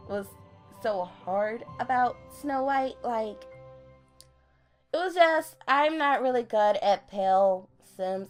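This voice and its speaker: A young woman with a deep voice, recording a casual late-night game voiceover at home on a desktop mic, chatty and self-deprecating. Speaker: gaming YouTuber. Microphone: desktop USB mic.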